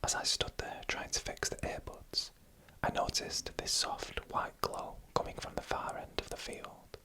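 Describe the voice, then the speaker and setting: A narrator reading eerie stories for ASMR in a breathy, unvoiced whisper, hushed and deliberate, phrase by phrase.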